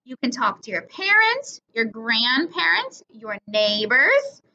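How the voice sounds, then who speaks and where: A woman voicing an encouraging schoolteacher in a storybook, instructive and measured as she addresses her class. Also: on the high side, very animated, bright, forceful tone, exaggerated voice. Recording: dull lo-fi recording.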